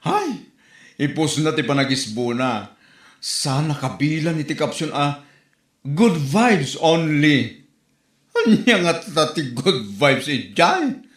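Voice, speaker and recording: A woman's voice: deep voice, very animated, takes it slowly, echoey room, full character voice. A woman with a Filipino Ilocano accent acting an incredulous, mocking village gossip in a comedy drama.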